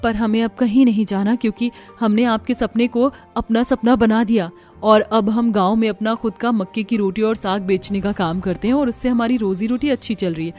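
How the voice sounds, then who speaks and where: A woman's voice: a little high, steady tone, normal speed, a little mellow, faint music behind, lightly acted. A woman voicing a kind, sensible young village daughter-in-law in a cartoon, earnest and respectful.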